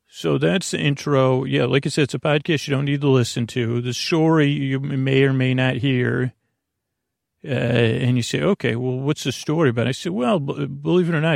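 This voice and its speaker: A man with a creaky, gravelly voice at an ordinary male pitch rambles through a soothing bedtime podcast monologue. He speaks haltingly and wanders off into digressions. The sound is close and dry. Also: no room sound. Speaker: podcast host.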